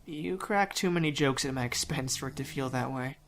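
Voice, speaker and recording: A man's voice: mid-range voice, brisk, fairly bright tone, a little hiss or hum, natural voice. A man playing a weary, self-conscious young survivor in an audio drama, confiding with wry humour.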